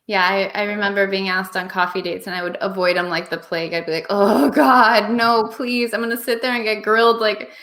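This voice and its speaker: A woman's voice: medium pitch, fairly even intonation, a little fast, hardly any pauses, a bit bright. A woman hosting a podcast interview, chatty, laughing easily and rephrasing her questions as she goes. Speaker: podcast host.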